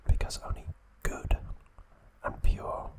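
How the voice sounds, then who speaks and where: A man whispering slowly and deliberately in short, hushed phrases, narrating as an ASMR host close to the microphone. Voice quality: breathy, unvoiced whisper.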